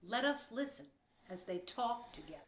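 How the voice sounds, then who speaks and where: A woman's voice: fairly high pitch, expressive, normal speed, a little hiss or hum. A woman giving scripted historical narration as the narrator of an audio play. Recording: roomy, echoing sound.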